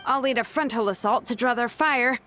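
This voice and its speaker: A woman's voice: a little high, a little fast, pushed and bright, faint music behind. A woman playing a confident, breezy and commanding warrior ally in a video game, self-assured and easygoing. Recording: faint background noise.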